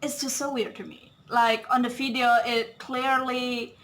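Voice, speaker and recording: A woman's voice: fairly high pitch, level tone, a little slow, fairly bright tone. A woman from Bali co-hosting a podcast, chatting casually with loose grammar and laughing often. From Bali, Indonesia. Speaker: podcast co-host. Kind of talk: casual conversational chat.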